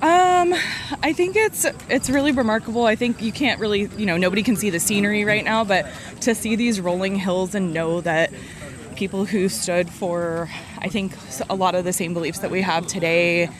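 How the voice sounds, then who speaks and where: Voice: mid-range voice, fairly even intonation, normal speed. A veteran in their thirties speaking in a casual interview while on a group hike, friendly and full of fillers like "um" and "you know.". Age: 30s. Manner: friendly, lots of fillers, laughs easily. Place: outdoors on a group hike along a road. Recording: outdoor crowd noise around.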